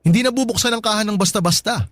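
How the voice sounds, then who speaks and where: An actor playing a strict, suspicious store boss in a Tagalog radio drama, questioning in a stern manner. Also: male voice, high-pitched, fast talker, fairly bright tone, dry recording. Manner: interrogating, stern. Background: music.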